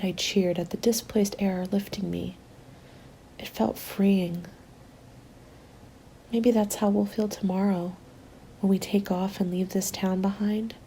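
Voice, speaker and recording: A woman playing a weary but hopeful zombie-apocalypse survivor reading out a winter journal, in a soft, breathy, intimate ASMR roleplay style that often slips toward a whisper. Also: medium pitch, a little hiss or hum, low-quality recording. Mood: tender, reassuring. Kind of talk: ASMR roleplay, scripted dramatic narration.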